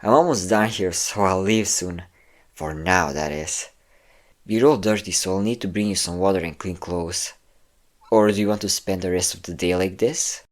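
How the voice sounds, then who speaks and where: A man acts out a cocky vampire who keeps a captive. His voice is low and he talks in a smug, coaxing way, full of endearments. It is an intimate, possessive monologue in the manner of a roleplay audio drama. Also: normal speed, close to an everyday voice. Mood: cocky, teasing. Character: possessive, cocky male vampire captor, obsessive and condescending.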